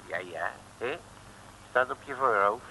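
An actor playing a wily, jocular detective in an old radio serial, with a folksy, teasing manner. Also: male voice, medium pitch, animated, measured pace, pushed and bright, breathy edge, exaggerated voice. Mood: probing, jocular. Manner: folksy, teasing, colourful mock-oaths. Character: wily, jocular male detective, self-styled uncle. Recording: noisy old radio drama recording.

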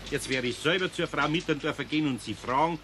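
An actor playing a gruff, kindly older carpenter in a radio play, grumbling with a Bavarian accent. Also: male voice, fairly high pitch, fast talker, sharp tone, slight background noise. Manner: grumbling, dry, dialect-tinged.

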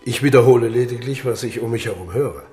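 A man's voice: mid-range voice, fast, mellow, faint music behind, lightly acted. An actor playing a jovial, flirtatious king at a baroque court in a radio drama, speaking in a grand, theatrical way.

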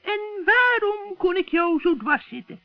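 A man voicing a scheming old witch in a children's radio play, shrill and cackling, with sugary, wheedling friendliness. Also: very animated, no echo, full character voice. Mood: sly, coaxing. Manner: wheedling, drawn-out coaxing. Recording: dull, dry vintage radio recording.

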